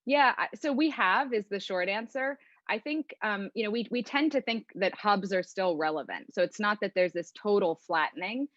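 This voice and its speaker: Woman panel guest explaining stuff thoughtfully, lowish voice, dry kinda lo-fi sound. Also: moderate pace, fairly bright tone, dry recording. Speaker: venture capital investor, panel guest. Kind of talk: conversational panel answers.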